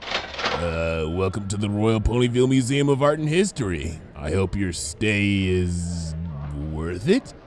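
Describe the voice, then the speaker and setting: A bored storybook cashier pony, put on by a man, low and dull. The delivery is deadpan and hesitant, like a clerk who would rather not be at work. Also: measured pace. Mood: dull, wary.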